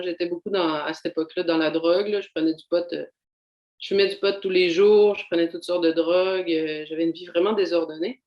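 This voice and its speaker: A young adult woman with a Québécois accent gives a candid, reflective personal testimony, pausing often to find her words. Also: on the low side, echoey room, highs cut off. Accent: Québécois French. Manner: candid, reflective, halting with frequent fillers. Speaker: doctoral student giving a faith testimony. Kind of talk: personal testimony in a video seminar.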